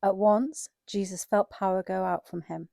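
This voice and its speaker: A woman's voice: mid-range voice, steady tone, moderate pace, gravelly, mellow, no echo, clean studio sound. A woman giving a scripted narration of a dramatized Bible reading.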